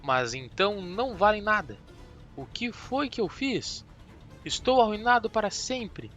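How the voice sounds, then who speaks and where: A man's voice: high voice, expressive, measured pace, a bit bright, muffled recording, soft background music, voice pushed up. A man voicing a captured German spy, bitter and threatening. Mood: despairing. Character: German male spy, captured, furious and vengeful.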